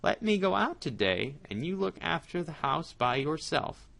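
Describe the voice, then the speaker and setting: A woman's voice: sits low, big pitch swings, moderate pace, vocal fry, a bit bright, pitched above the performer's own voice, heavily acted. A woman voicing a sly, greedy male cat who talks his way around a trusting mouse. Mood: wheedling.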